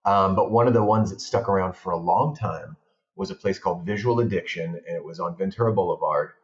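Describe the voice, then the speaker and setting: A man's voice: sits low, a little fast. A man born abroad chatting casually on a podcast, telling long rambling anecdotes. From Portugal. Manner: rambling, animated storytelling. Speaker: podcast guest, film director. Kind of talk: casual chat. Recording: roomy, muffled sound.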